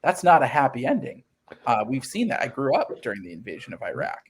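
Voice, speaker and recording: A man's voice: mid-range voice, huge pitch swings, fast, husky. Earnest man telling his story in an interview. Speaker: interview guest, comic book writer.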